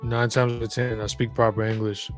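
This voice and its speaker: A man's voice: mid-range voice, flat delivery, brisk, soft background music. Southern man talking thoughtfully. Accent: Southern American. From Southern United States. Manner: reflective, emphatic, storytelling with frequent restarts. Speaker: podcast guest. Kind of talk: casual conversation.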